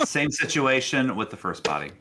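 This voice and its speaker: A man's voice: medium pitch, very animated, normal speed, bright, forceful tone, soft background music. A man running a tabletop roleplaying game as game master, narrating casually in a jokey way.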